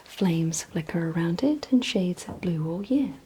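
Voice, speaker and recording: A woman whispering breathily in an ASMR vampire roleplay, speaking deliberately to the listener as a gently instructing host. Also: lowish voice, animated, slight background noise. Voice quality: breathy, mostly unvoiced whisper.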